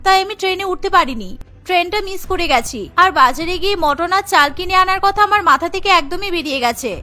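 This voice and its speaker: A woman's voice: very high voice, fast talker, sharp tone. A woman playing an overworked, put-upon young daughter-in-law in a cartoon, explaining and complaining wearily. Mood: apologetic, dutiful. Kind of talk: cartoon voice acting.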